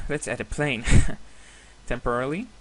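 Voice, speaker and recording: A man whose first language is Hebrew, giving a screen-recorded software tutorial with many hesitations and self-corrections. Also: mid-range voice, measured pace, a little hiss or hum. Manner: apologetic, laughs at himself.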